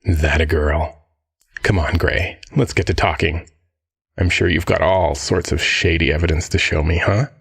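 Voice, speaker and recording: A man playing a swaggering, smug billionaire crime-boss father in an audio drama, with a husky, drawling, mock-affectionate delivery. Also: low-pitched, steady tone, moderate pace, a bit bright, heavily acted. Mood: jovial, smug.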